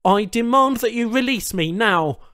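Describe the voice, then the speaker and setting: An anxious, indignant human prisoner, played by a male audiobook reader, his voice lifted well above a normal talking pitch. He protests and questions with wry disbelief, bargaining with his captors in a science fiction story, recorded dry and close. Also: lively intonation, moderate pace, sharp tone, smooth, dry recording, exaggerated voice. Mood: indignant, protesting. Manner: protesting, questioning, wryly incredulous.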